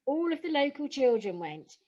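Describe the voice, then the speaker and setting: An anxious young-sounding actress character, voiced by a woman. Her pitch is high. She speaks earnestly and with self-doubt, in the natural manner of an audio play. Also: big pitch swings, moderate pace, dark tone, lo-fi sound. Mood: wry, reflective. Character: anxious, earnest stage actress who uses a wheelchair.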